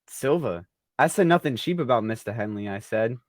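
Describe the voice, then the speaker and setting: A man's voice: mid-range voice, moderate pace, no echo, studio quality, lightly acted. A man playing a mischievous, joking sound man in a 1940s-style radio play. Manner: joking, animated. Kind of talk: 1940s radio drama acting.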